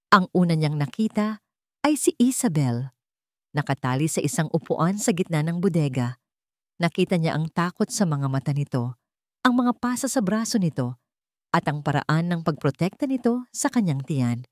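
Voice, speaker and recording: A woman with a Filipino accent narrates a dramatic story. Her pitch is a little low and moves widely from phrase to phrase, and the recording is close and dry. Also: dry recording.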